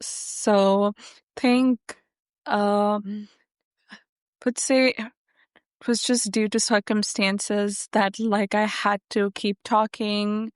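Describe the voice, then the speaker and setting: Young woman who stutters, lots of ums, slow and even, dry sound. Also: medium pitch, no echo. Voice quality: stutter with repeated sounds and blocks. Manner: halting, many fillers, thoughtful. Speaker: guest, software engineer. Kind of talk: conversational interview.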